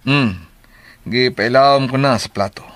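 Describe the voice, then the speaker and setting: An actor in a radio drama playing a brisk, scheming criminal boss with an impatient manner. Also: male voice, medium pitch, a bit bright, no room sound, natural voice.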